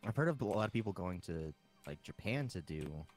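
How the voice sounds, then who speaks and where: A man chatting casually while gaming, with halting, hesitant phrasing. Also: sits low, sing-song. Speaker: player in an online game session. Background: music.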